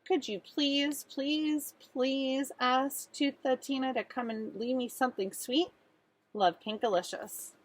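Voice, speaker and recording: A woman voicing an earnest little girl who tells her own storybook tale in an expressive, pleading way. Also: high-pitched, slow, voice pushed up. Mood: polite, pleading. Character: earnest little girl narrator with a sweet tooth.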